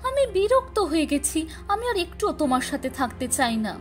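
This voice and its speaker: Scornful young cartoon wife, played by the female narrator, high-pitched, animated, contemptuous scolding. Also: moderate pace, soft background music. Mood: angry, contemptuous. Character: scornful, greedy young wife, bitterly fed up.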